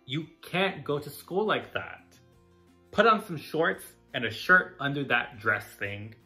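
A man voicing a caring, gently firm mother in a children's storybook. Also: a little high, very animated, slow, soft background music, full character voice. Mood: brisk.

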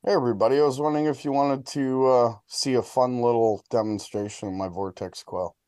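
Casual man with a mellow, darker tone. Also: medium pitch, steady tone, fairly quick. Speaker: hobby experimenter, guest presenter. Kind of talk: casual live demonstration on a video call. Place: indoor room, video call.

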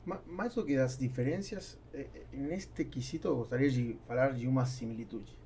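Gravelly man, halting speech. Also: mid-range voice, dark tone, a little hiss or hum. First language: Spanish. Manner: halting, searching for words. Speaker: podcast guest, businessman. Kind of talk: conversational interview answers. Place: small foam-lined podcast studio. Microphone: studio microphone on boom arm.

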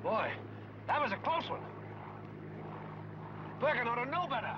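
A man's voice: very high voice, big pitch swings, a little slow, very noisy, full character voice. A man playing an alarmed airline pilot in a 1940s film. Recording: dull film soundtrack, loud aircraft engine.

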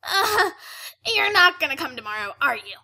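A woman's voice: very high voice, big pitch swings, normal speed, noisy background, full character voice. A woman voicing an awkward young athletic pony in a fan-made cartoon radio play.

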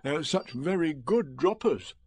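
A man voicing a naive, placid toy bear who speaks slowly and matter-of-factly in a storybook reading. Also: on the high side, lively intonation, darkish tone, breathy edge, dry recording, voice pushed up, heavily acted. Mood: knowing, placid.

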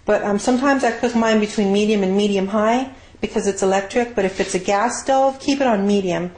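A woman's voice: mid-range voice, fairly quick, a little mellow. A woman giving a casual, rambling, unscripted cooking demonstration as a home cook in her kitchen. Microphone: handheld camera mic. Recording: noisy amateur camera audio with clicks.

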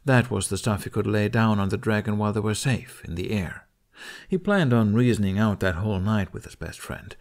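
Lowish-voiced man narrating fiction. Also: a little fast. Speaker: audiobook narrator. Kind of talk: expressive scripted fiction narration.

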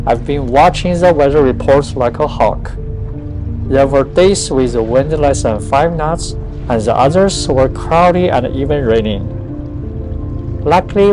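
Music plays clearly beneath a man's voice. He narrates a travel vlog from a script at a middle pitch. His delivery is measured, set out phrase by phrase. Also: rough recording. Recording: music under the voice. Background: background music.

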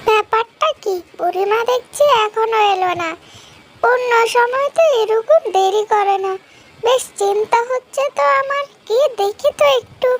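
A woman's voice: extremely high pitch, normal speed. A woman voicing a small, devoted pet fox in a fairy-tale cartoon, earnest and childlike. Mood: worried.

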